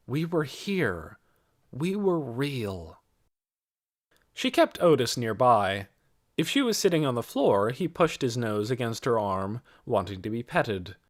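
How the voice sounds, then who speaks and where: An audiobook narrator reads literary prose from a script. The voice sits in a middle register and moves animatedly up and down in pitch.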